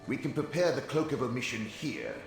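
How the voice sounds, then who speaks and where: A man's voice: medium pitch, big pitch swings, fairly quick. A man playing an eccentric, self-assured fantasy wizard in a video game, articulate, theatrical and musing, with a heavy ghostly reverb on his voice. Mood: encouraging, pleased. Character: eccentric Telvanni wizard, male, self-assured and dryly fussy. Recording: very echoey reverberant hall sound, music behind.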